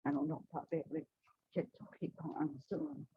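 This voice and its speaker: A woman giving a gentle, measured spiritual talk to a church congregation. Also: sits low, level tone, muffled recording, a little hiss or hum. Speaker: church speaker. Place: church hall, also streamed to video call.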